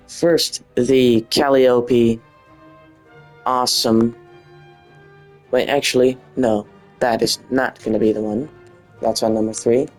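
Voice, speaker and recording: A man with a Dutch accent talks through a gaming video at a slow pace. His commentary is casual and informal, built on short emphatic phrases, with faint music underneath. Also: medium pitch, a little mellow. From Netherlands.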